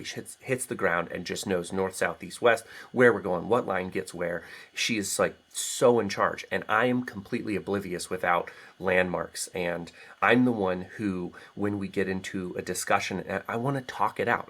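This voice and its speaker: A middle-aged American man with a low-pitched voice chats as an interview guest. He is reflective and talkative, speaking in a casual, conversational way. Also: a bit bright. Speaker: guest author.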